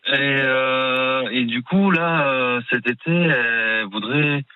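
A forty-year-old man from Cherbourg with a light Norman French accent, calling in to a radio show and talking haltingly, full of fillers. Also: mid-range voice, clear, resonant tone. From Cherbourg, France. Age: 40s. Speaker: radio caller. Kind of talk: phone-in chat. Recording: tinny phone line.

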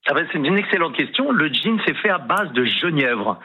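A man's voice: on the high side, sing-song, moderate pace, slightly rough. A man on a phone line, a guest answering politely and keenly. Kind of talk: phone interview. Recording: dull lo-fi line.

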